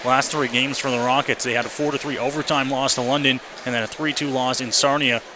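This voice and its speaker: Guy calling hockey on the radio from the rink, mid-pitched voice, lots of background noise. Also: steady tone, brisk. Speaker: play-by-play radio announcer. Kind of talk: live hockey pre-game commentary. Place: indoor hockey arena. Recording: noisy live rink broadcast. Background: clear background arena noise.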